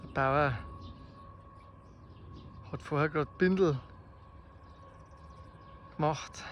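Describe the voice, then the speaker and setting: A man with a Bavarian accent improvises spoken poetry outdoors in a slow, reflective, halting way, in short phrases with long pauses. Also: a little high, muffled recording. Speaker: amateur poet. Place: outdoors in the countryside. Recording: faint outdoor nature noise. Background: birdsong and rural ambience.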